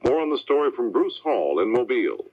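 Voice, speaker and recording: A man's voice: medium pitch, sing-song, fairly quick, narrow, tinny audio. An American man anchoring a scripted 1970s network radio newscast, heard on a vintage AM recording.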